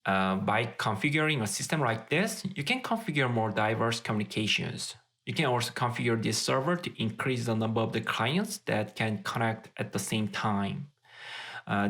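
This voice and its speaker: Creaky-voiced man explaining tech. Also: mid-range voice, lively intonation, normal speed, fairly bright tone. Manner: methodical, step-by-step. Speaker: tech tutorial presenter. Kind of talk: explanatory tutorial narration.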